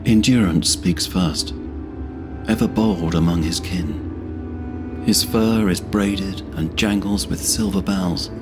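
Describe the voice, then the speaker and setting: A man narrating scripted fantasy as a storyteller in a solemn, measured manner. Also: deep voice, over music.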